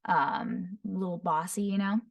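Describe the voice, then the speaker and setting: A woman voice actor teaching voice impressions, describing each voice in short phrases and often breaking into quick character demos. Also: fairly low pitch, creaky, muffled recording. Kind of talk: voice impression tutorial. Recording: voice somewhat far from the mic.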